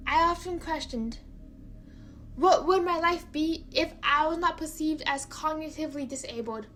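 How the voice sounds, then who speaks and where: A young woman from China narrating a personal story, careful and halting, often repeating words. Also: high voice, fairly bright tone, a little hiss or hum.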